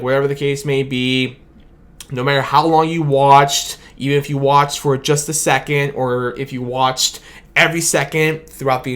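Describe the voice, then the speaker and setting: A tired young man, his voice starting to go late at night, rambling through a long, grateful sign-off to his sports reaction video. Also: medium pitch. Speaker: sports fan video host. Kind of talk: late-night post-game reaction monologue. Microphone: camera mic with his own light.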